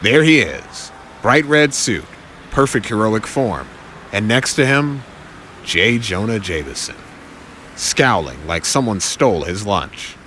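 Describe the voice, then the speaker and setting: A man's voice: lowish voice, very animated, slow, sharp tone, a little hiss or hum. A man narrating an audio drama from a script in a wry, theatrical way.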